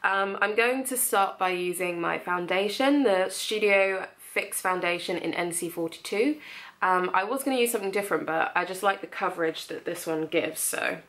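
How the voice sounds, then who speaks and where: A woman's voice: lowish voice, normal speed, fairly bright tone. A young woman with a slight cold, chatting her way through a makeup tutorial with plenty of ums. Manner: chatty, friendly, lots of ums. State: has a cold, slightly nasal. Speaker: beauty vlogger.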